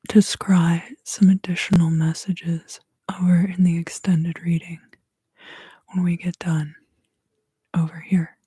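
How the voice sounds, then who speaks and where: A woman whispering a soothing ASMR tarot reading in a breathy, mostly unvoiced voice, taking her time. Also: lowish voice, mellow, dry recording. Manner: soothing, unhurried.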